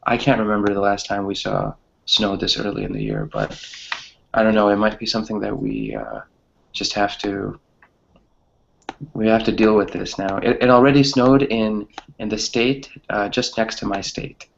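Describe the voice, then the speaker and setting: A man's voice: on the low side, animated, normal speed, gravelly, slight background noise. A man chatting casually as an online class teacher, hesitant and often repeating phrases, on slightly muffled audio. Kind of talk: casual chat with students.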